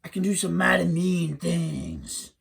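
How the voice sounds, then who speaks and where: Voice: mid-range voice, sing-song, slow, vocal fry, slightly rough, exaggerated voice. A storyteller voicing the hairy man, a menacing male swamp monster who boasts and threatens. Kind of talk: oral folktale telling.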